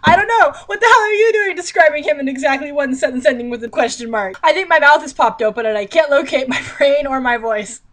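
Sarcastic young woman with a higher-pitched voice. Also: fast talker, few pauses, a bit bright. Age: young adult. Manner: sarcastic, exasperated, theatrical. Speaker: vlogger reading a book aloud with commentary. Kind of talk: mocking read-aloud with sarcastic running commentary. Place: small indoor bedroom.

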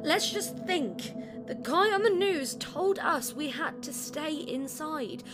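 A woman's voice: high voice, expressive, takes it slowly, over music. A woman playing a stubborn, sceptical daughter in an audio drama. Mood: defiant.

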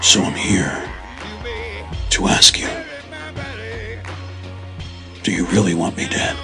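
A man's voice: sits low, measured pace. A man playing a grim, tormented masked vigilante in a comic dub, speaking in a restrained, solemn growl. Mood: stern. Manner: restrained, solemn, brooding delivery. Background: music.

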